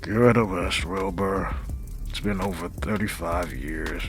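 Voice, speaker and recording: A man acting in a comic dub as a gruff, opinionated, street-weary character, gravelly and husky, with an emphatic, sardonic delivery. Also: low-pitched, a little slow, soft background music. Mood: grumbling.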